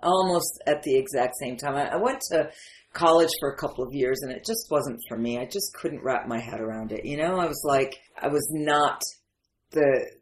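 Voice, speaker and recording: A woman's voice: extremely low pitch, moderate pace, slight background noise, rough recording. A woman in her fifties with an American accent, originally from Syria, talking candidly and emphatically as an interview guest. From Syria, then Detroit area in the United States. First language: not English. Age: 50s. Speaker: interview guest, memoir writer. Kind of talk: conversational personal storytelling.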